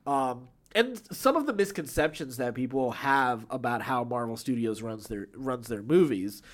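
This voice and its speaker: A man's voice: medium pitch, lively intonation, normal speed. A man co-hosting a podcast, chatting casually about film news. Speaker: podcast co-host.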